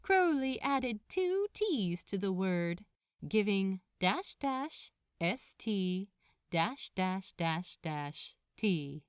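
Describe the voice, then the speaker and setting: A woman narrates a story with lively, varied intonation. Her pace is slow and measured, and the recording is close and dry with little room sound. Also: medium pitch, clean tone, no echo. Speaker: fan fiction narrator.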